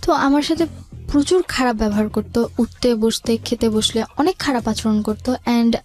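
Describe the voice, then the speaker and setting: A young woman, a radio guest, recounting her personal life plainly and haltingly with some English words mixed in. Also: a little high, soft background music. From Bangladesh. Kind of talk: personal life story told in conversation.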